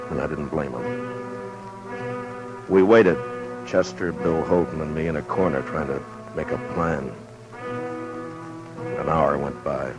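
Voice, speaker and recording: Casting: stoic frontier lawman, very deep voice, terse somber narration, old radio western with prominent music. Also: heavily acted, low-quality recording. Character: grim, steady western lawman narrating his ordeal. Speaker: lead actor and narrator.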